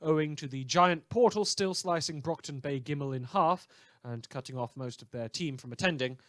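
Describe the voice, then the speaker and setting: Man playing a high-pitched, snide pirate radio host. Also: a bit bright, no room sound, studio quality, natural voice. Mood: sardonic. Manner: dramatic, sarcastic emphasis with drawn-out words. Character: snide, theatrical, conspiracy-minded pirate radio news host. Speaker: fictional radio show host. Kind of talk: mock radio news broadcast.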